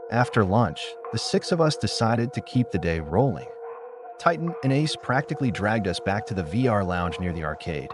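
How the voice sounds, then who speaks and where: A man's voice: lowish voice, very animated, moderate pace. A man narrating a scripted first-person story as an audiobook. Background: music.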